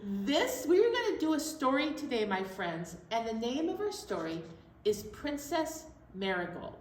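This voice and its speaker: Echoey, high, lively woman hosting storytime. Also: unhurried. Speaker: children's storytime host. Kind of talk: casual, simple talk to young children. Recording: echoey, distant mic, faint noise.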